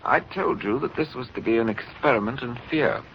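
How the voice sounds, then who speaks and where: An actor playing a coldly calculating megalomaniac doctor, speaking in a measured, pompous, clinical way in 1940s radio style. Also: male voice, medium pitch, gravelly, lightly acted. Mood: smug, clinical. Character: coldly calculating male doctor, megalomaniac villain experimenting with fear. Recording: muffled, noisy 1940s radio recording.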